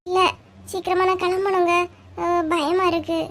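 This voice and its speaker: A woman's voice: very high-pitched, flat delivery, normal speed. A woman with a Kanyakumari Tamil accent voicing a timid, flustered young village woman in a comic cartoon. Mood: nervous. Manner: hesitant, flustered.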